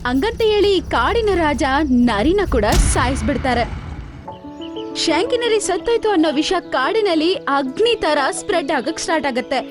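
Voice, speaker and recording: Casting: female narrator for animated children's tales, high voice, music clearly underneath. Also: unhurried. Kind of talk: scripted children's story narration.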